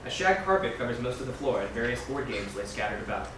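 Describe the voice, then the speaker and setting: A man reads stage directions quickly in a very echoey, noisy room, some way from the mic. His delivery is scripted narration, moving briskly through screenplay scene descriptions. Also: mid-range voice, very animated, recorded from a distance. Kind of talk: reading screenplay directions aloud.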